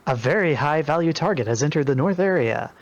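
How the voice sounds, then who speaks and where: A man's voice: on the high side, expressive, a little fast. A man in a group reading, moving between reading aloud and casual chat. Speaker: participant and narrator in a group reading. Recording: dull, lo-fi microphone sound.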